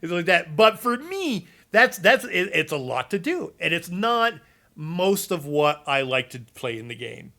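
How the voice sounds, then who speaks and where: A man's voice: on the high side, sing-song, measured pace, creaky, pushed and bright. A man chatting casually about game builds, rambling with many fillers and restarts, and losing his voice. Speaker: gaming content creator.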